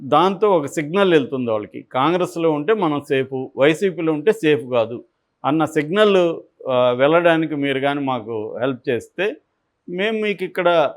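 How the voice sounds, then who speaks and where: A man's voice: fairly high pitch, lively intonation, normal speed. A man giving unscripted political analysis as a commentator, explaining conversationally.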